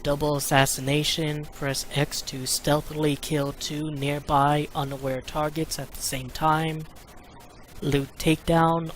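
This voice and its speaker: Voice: mid-range voice, a little hiss or hum. A person giving casual commentary and walkthrough on a video game they are playing. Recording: game music behind the voice. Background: video game music and sounds.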